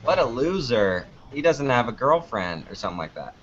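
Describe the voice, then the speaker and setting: A man's voice: mid-range voice, very animated, moderate pace, fairly bright tone, slight background noise. A man giving casual interview answers in a joking, anecdotal way, acting out little exchanges as he goes. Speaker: podcast producer and video creator being interviewed. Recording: dull lo-fi audio.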